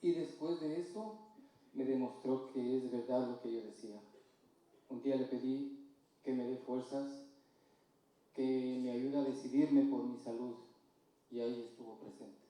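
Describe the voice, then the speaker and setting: A man from Ecuador with an Ecuadorian Spanish accent, giving a slow, halting, earnest personal testimony in church. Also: mid-range voice, fairly even intonation, warm tone. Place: church hall. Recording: distant mic in echoey church hall.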